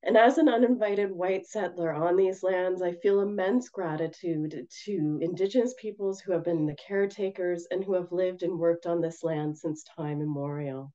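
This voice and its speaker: Raspy-voiced woman presenting over video call. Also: on the low side, lo-fi sound. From Canada. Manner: gentle, measured, with frequent ums. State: hoarse from a cold. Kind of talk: webinar presentation.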